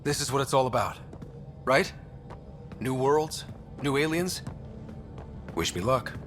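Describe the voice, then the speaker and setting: An actor playing an earnest, wry young human explorer in a video game, speaking in a casual, sincere way. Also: male voice, mid-range voice, lively intonation, slow, a bit bright, faint music behind. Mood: determined, wry. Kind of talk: video game dialogue acting.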